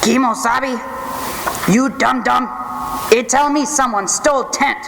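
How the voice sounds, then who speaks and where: Noisy echoey hall, woman playing blunt cowboy-tale sidekick, high voice, terse broken English. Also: measured pace. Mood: blunt, deadpan. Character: Tonto in a joke, blunt male Western sidekick. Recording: echoey hall, clear noise, live.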